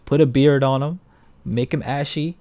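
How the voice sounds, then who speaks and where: A man's voice: medium pitch, steady tone, normal speed, warm tone. A man co-hosting a casual film and video game chat, speaking in an opinionated, blunt way. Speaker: podcast co-host reviewing films.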